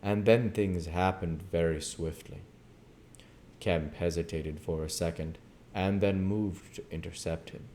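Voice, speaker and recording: A man's voice: low-pitched, steady tone, measured pace, darkish tone, slight background noise. A man reading a storybook aloud as narrator. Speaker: audiobook reader.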